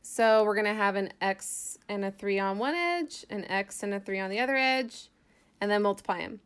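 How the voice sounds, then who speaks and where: A woman's voice: mid-range voice, moderate pace, a bit bright, clean tone. A woman math teacher giving an informal lesson, thinking aloud as she explains worked examples step by step in a casual way.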